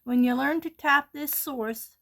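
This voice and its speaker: Woman host, slightly high gravelly voice, slow unhurried delivery, stuffy-nosed from allergies, casual sketching chat. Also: fairly bright tone. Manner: slow, chatty, often drops to near-whisper. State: congested from allergies. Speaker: art channel host.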